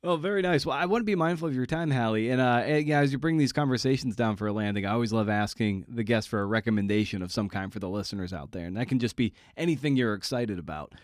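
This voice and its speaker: A man's voice: medium pitch, fast, hardly any pauses, dry recording, studio quality. A North American man hosting a casual podcast interview, informal and a little rambling, repeating words as he goes. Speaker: podcast host.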